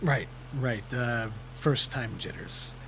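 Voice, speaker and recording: An actor playing a wry, guarded show host in a fiction podcast, talking in a clipped, casual way. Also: male voice, mid-range voice, slow pace, background noise. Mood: nervous. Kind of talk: naturalistic fiction podcast acting.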